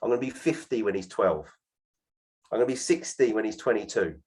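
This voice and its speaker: A man around forty giving an energetic sales presentation on a video call, tagging "right?" onto his sentences. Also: lowish voice, a little fast. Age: 40s. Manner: keeps adding "right?" to sentences, lively and persuasive. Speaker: host of an online presentation.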